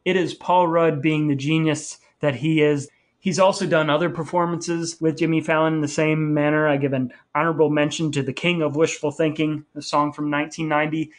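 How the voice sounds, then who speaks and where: Higher-pitched man hosting a casual podcast. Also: flat delivery, a little fast. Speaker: podcast co-host. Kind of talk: casual podcast talk between brothers.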